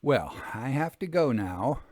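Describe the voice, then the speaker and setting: A man hosting a story podcast, presenting in a measured, explanatory style. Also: medium pitch, sing-song, noisy background. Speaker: host and narrator of a story podcast. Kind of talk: measured podcast outro, book promotion.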